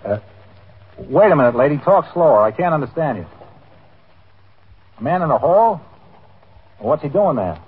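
An actor playing a brisk, businesslike police desk sergeant in a 1950s radio police drama. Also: male voice, on the high side, sing-song, breathy edge, heavily acted. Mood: brisk, patient. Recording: noisy, tinny old 1950s radio broadcast.

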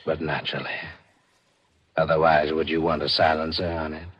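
An actor playing a sly, mercenary pawnbroker with hesitant, formally polite phrasing in a 1940s radio drama. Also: male voice, a bass voice, flat delivery, moderate pace, husky. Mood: sly, unhurried. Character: sly male pawnbroker, illicit gun seller, coolly mercenary. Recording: muffled vintage radio recording.

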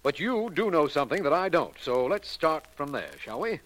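An American actor playing an earnest, skeptical district attorney in a 1940s radio mystery, formal and insistent. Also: male voice, on the high side, big pitch swings, moderate pace, fairly bright tone. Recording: faintly noisy vintage radio recording.

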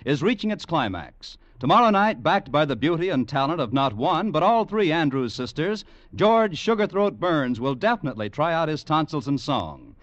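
Male American network announcer, vintage radio promo read, animated higher-set voice, dry but dull old recording. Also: moderate pace, no room sound.

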